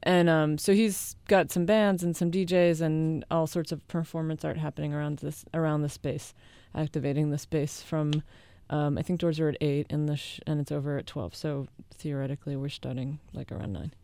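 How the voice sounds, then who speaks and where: Low female voice, late thirties, clear tone, dry sound, casual guest talk, hesitant phrasing. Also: fairly even intonation, moderate pace, few pauses, warm tone, no echo. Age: late 30s. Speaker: guest, needle-piercing performance artist and teacher. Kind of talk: casual interview chat.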